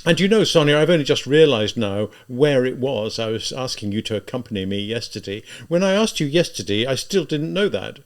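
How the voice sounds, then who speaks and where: A man voicing a tormented, spiteful young murderer in an audiobook, halting and emphatic as he reproaches himself. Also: medium pitch, animated, lightly acted. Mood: bitter, pleading.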